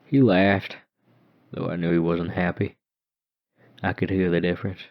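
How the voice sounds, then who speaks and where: A man's voice: sits low, little pitch movement, normal speed. A man narrating a horror story dramatically in the first person. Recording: dull muffled lo-fi recording.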